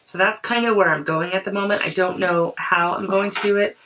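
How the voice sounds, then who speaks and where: A woman's voice: medium pitch, fairly even intonation, brisk, a bit bright, distant mic, slight background noise, low-quality recording. A woman creativity coach, her voice affected by a cold, chatting casually and thinking aloud as she crafts in a journal.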